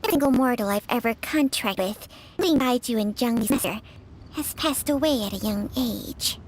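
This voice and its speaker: A woman's voice: fairly high pitch, fairly quick, a little hiss or hum, close to an everyday voice, low-quality recording. A woman voicing an ancient, grave spirit creature that speaks in solemn, formal pronouncements in a fantasy game. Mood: bitter, grave. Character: ancient, grave contract-bearing spirit creature, warning and knowing. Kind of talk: dramatic fantasy game acting.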